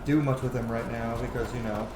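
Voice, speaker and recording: A man giving casual, excitable gameplay commentary with game sounds behind him, swearing freely as he reacts. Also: mid-range voice, a little fast. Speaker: let's play host, gamer. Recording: roomy echo, clear noise behind.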